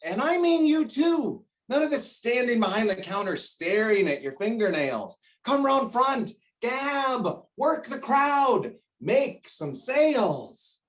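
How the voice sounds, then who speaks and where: A man's voice: extremely high pitch, sing-song, recorded from a distance, exaggerated voice. A man playing a bossy, greedy middle-aged shopkeeper father in a stage play, blustering and drawing out his words. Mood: gleeful, hard-selling. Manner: blustering, emphatic, drawn-out words. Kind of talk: stage play acting over video call.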